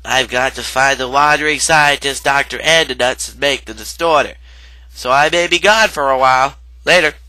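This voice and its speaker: A man's voice: mid-range voice, moderate pace, sharp tone, no echo, natural voice, poor audio. A man voicing a self-important boy genius inventor in a video game.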